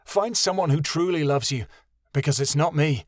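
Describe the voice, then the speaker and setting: A man's voice: fairly high pitch, normal speed, no room sound, clean studio sound, pitched above the performer's own voice, natural voice. A man voicing a brooding young man who turns away firmly.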